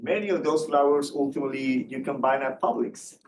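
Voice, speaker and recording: A man's voice: mid-range voice, a little fast, roomy sound, far from the mic, noisy background. A man from Colombia giving a university seminar lecture, hesitant with many fillers and restarts. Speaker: guest lecturer. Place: lecture room.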